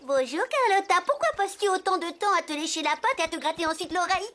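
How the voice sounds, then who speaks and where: Squeaky, excitable little animal girl. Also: fast talker. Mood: curious, pleading. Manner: excitable, gushing, repeats words. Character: childlike, eager, naive young female hoofed animal. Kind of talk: French cartoon dub acting.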